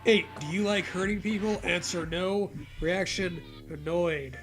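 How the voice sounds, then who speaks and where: A man's voice: high voice, unhurried, fairly bright tone, soft background music. A man reading an online horror story aloud as a comedy co-host, dropping mocking, profane asides.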